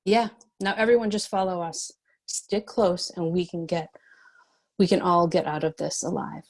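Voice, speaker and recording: A determined young heroine with a husky edge, played by a woman. She is bossy and defiant yet compassionate, as read in a dramatic script workshop. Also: on the low side, normal speed, darkish tone. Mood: urgent. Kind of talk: screenplay table read.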